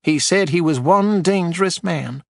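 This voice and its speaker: Guy doing a high snippy gossipy widow lady, bossy and indignant, storybook style. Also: measured pace, gravelly, fairly bright tone, no room sound, studio quality, heavily acted. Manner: shrill, imperious, snappish.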